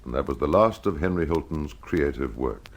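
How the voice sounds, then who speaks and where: A man hosting and narrating a scripted detective mystery in old-time radio style, telling it to the listener in a measured, dramatic way. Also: a bass voice, animated. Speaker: host and narrator of a mystery programme.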